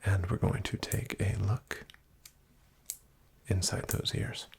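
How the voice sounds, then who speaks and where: Slow whispering man with gravelly fry. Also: low-pitched, flat delivery. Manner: whispered, formal and dryly ironic. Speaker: ASMR roleplay doctor. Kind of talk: soft-spoken ASMR roleplay.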